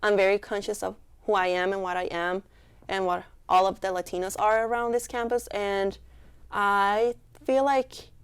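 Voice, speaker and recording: A young woman with a Mexican accent answers an interviewer in an earnest, heartfelt way, her speech full of casual fillers. Her voice is smooth and resonant, with a gravelly edge underneath. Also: medium pitch, steady tone, moderate pace. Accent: Mexican Spanish. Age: young adult. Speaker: student club member, interviewee.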